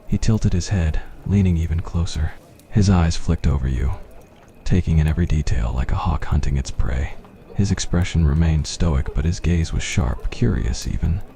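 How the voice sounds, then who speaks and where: A man's voice: extremely low pitch, normal speed, gravelly, dark tone. A man reading a scripted fantasy roleplay as ASMR story narration.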